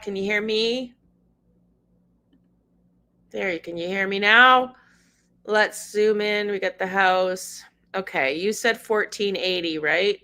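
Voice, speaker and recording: A woman's voice: mid-range voice, sharp tone. A middle-aged woman, hosting a casual livestream, reading news aloud and chatting with viewers by name. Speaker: livestream host.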